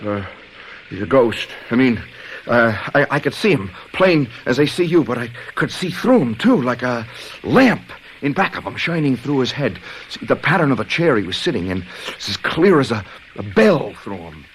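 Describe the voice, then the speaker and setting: Brash, haunted young hipster, played by a man, stammering slang, very animated pitch, clear noise behind, radio drama. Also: moderate pace, natural voice, low-quality recording. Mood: shaken. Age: young adult. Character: brash young hipster punk, cocky but jittery and haunted.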